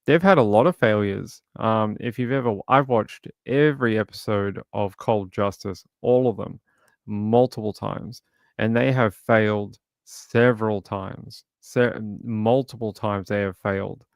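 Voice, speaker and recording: A man talks over a true crime case to his livestream audience in a low, gravelly, crackly voice. He speaks haltingly, with plenty of "uh" and restarts. The sound is close and dry, with no room around it. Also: no echo. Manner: halting, many fillers and restarts. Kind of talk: casual livestream commentary, reading chat.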